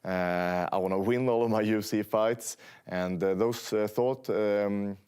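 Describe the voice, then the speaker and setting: Hesitant low-voiced Swedish man. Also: steady tone, a little slow, a little mellow, clear, resonant tone. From Sweden. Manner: hesitant, many fillers, plain speech. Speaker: fighter interviewee. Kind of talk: casual interview answers.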